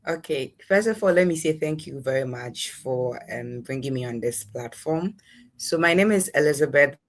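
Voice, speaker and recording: A woman's voice: deep voice, animated, moderate pace. A young adult woman with a Ghanaian accent, giving conversational answers as an interview guest. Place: in a room at home, online interview.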